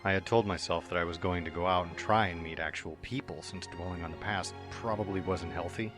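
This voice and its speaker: A man's voice: sits low, fairly quick. A man reading a fan story aloud, narrating in an earnest first-person manner. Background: faint background music.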